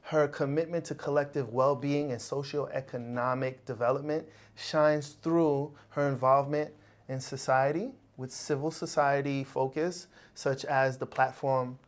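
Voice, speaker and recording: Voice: medium pitch, fairly even intonation, measured pace. A podcast host introducing and interviewing a guest in a friendly, chatty way. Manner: friendly, chatty, jokes about himself or herself. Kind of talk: interview hosting.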